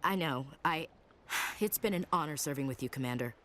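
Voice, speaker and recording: A woman's voice: low voice, normal speed, a bit bright, a little hiss or hum. An actress playing a blunt, loyal, no-nonsense female soldier in a video game. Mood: subdued, sincere. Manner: brash, no-nonsense. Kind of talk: video game cutscene acting.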